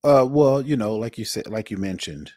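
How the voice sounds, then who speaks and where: A man's voice: fairly low pitch, lively intonation, moderate pace, a little mellow, no echo, studio quality. A man co-hosting a podcast with conversational commentary, often circling back to rephrase as he goes. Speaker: podcast co-host.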